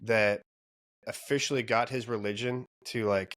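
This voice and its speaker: Low-pitched man, opinionated podcast host. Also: fairly even intonation, moderate pace, a bit bright. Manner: opinionated, informal. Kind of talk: casual chat.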